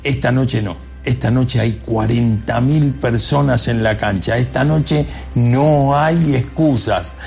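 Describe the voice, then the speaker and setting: Gravelly, emphatic male sports commentator. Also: medium pitch, slow, hardly any pauses, darkish tone. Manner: emphatic, rhetorical repetition.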